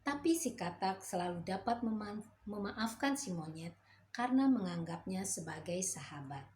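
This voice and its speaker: A woman storyteller reading a fairy tale to children in a gentle, motherly way. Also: mid-range voice, lively intonation, normal speed, a little hiss or hum.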